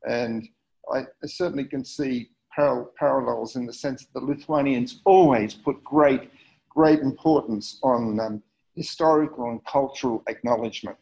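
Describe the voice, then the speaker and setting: A man's voice: medium pitch, normal speed, gravelly, a little mellow. A middle-aged man from Australia giving a community history talk over slides, hesitant, with many fillers and repeated words. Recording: dull lo-fi recording.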